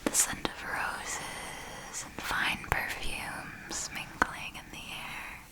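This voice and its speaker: A woman playing an elegant, seductive vampire mentor in an ASMR roleplay, speaking in a soft breathy whisper with formal, deliberate, intimate phrasing. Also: medium pitch, rough recording. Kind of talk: ASMR roleplay, scripted monologue.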